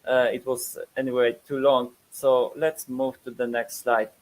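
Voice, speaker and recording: A man's voice: medium pitch, flat delivery, unhurried, a little mellow, low-quality recording. A man in his thirties from Poland, speaking with a Polish accent, presents a webinar with many fillers and repeated words.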